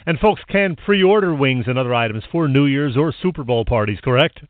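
A man's voice: medium pitch, lively intonation, fairly quick, dry recording, phone-quality sound. A man hosting radio, leading a semi-scripted sponsor chat with prompting questions. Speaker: radio host doing sponsor interview.

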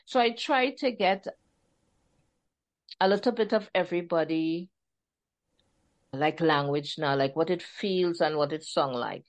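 Woman author chatting in an interview, lively, acts out quotes, lowish voice. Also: measured pace, pauses often. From Trinidad and Tobago. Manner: animated, laughing storyteller who acts out quotes. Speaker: author guest. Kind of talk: casual interview chat.

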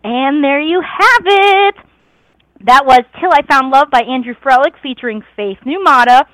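A woman hosts a live radio show in a high, strained voice that is going hoarse. She chats in a gushing, excited way. The sound is dry and close, with no room around it. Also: normal speed, no echo, rough recording. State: hoarse, losing her voice.

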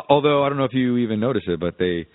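A man's voice: medium pitch, expressive, quick pace, no echo. A man co-hosting a podcast, chatting casually and jokily in short interjections. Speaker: podcast co-host. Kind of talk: casual comedic chat. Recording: dry, thin phone-like audio.

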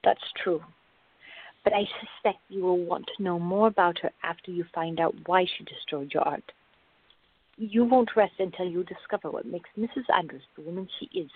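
Tinny, husky, patient woman played by an actress. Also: lowish voice. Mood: knowing, patient. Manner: measured, placating, explanatory. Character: calm, reasoning woman, patient and gently firm partner. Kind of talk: radio drama acting. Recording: tinny, band-limited, echoey room.

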